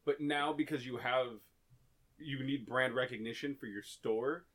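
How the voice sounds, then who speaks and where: A man co-hosting a podcast, talking loudly and rambling in casual jokes in an echoey room. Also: medium pitch, measured pace, a crowd in the background. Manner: loud, joking, rambling. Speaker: podcast co-host. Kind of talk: casual chat.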